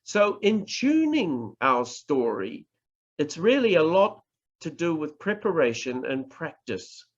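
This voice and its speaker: A man with a New Zealand accent giving a public speaking workshop, talking in a measured, deliberate way and stressing words to demonstrate. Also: fairly high pitch, very animated. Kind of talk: slow instructional presentation. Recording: dull lo-fi recording.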